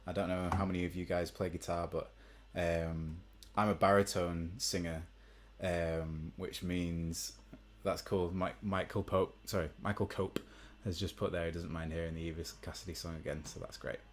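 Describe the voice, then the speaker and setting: Deep-voiced man chatting casually. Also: moderate pace, hardly any pauses. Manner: relaxed, rambling with many ums. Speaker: musician hosting a live stream. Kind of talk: casual chat to viewers between songs. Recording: faint guitar behind.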